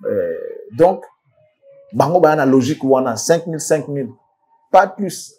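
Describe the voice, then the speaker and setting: A man's voice: on the high side, lively intonation, unhurried, a little mellow, slightly rough. A man commenting in a casual studio discussion, switching between French and Lingala, often restarting and repeating words. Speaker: commentator guest.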